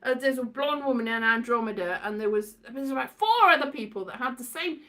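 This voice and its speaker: A woman's voice: fairly high pitch, fast, sharp tone. A woman in a casual, rambling monologue, playful and scatty, wandering off on tangents and repeating her words. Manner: playful, acts out quotes in put-on voices.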